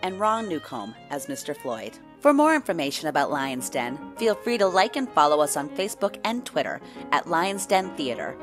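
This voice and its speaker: Female announcer, lowish voice with big melodic swings, scripted credits read over violin music. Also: fairly bright tone.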